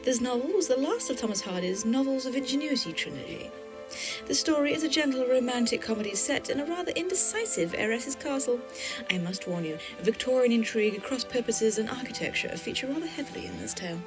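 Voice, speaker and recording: A woman's voice: on the high side, animated, fast, low-quality recording. A woman giving a playful scripted introduction as host of an audio drama podcast. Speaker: host, writer and director. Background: music.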